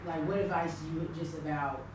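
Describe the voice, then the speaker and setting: A woman's voice: deep voice, steady tone, fairly quick. A woman hosting a live interview, asking questions and backing the guest up with frequent "right" and "mm-hmm". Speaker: host. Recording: echoey room, far mic, background noise.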